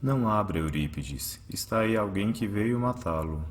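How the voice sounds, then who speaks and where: Low, solemn guardian spirit played by a man. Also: animated, moderate pace, close to an everyday voice. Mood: urgent warning. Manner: solemn, reassuring. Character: protective male spirit doctor, grave, reassuring guide. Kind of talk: radio drama acting.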